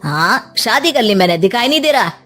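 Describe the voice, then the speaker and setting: A woman's voice: on the high side, very animated, fairly quick, a bit bright, faint music behind. A woman voicing an insolent, lazy son in a cartoon story, speaking in a rude, defiant way.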